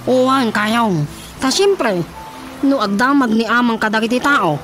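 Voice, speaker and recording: An actor playing a naive, earnest young son with an Ilocano accent in a radio comedy-drama. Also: male voice, extremely high pitch, animated, measured pace, fairly bright tone, heavily acted. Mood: explaining. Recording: prominent music under the dialogue.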